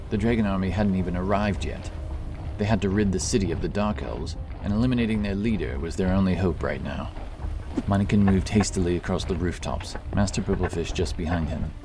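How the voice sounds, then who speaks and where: A man narrating a fantasy story in a steady, scripted style. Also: low-pitched. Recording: narration over loud music.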